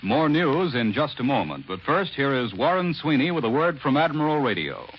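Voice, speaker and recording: A man's voice: mid-range voice, big pitch swings, normal speed, slight background noise. American newsman on muffled 1940s radio. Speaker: radio newscaster, anchor. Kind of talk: 1940s scripted radio news reading.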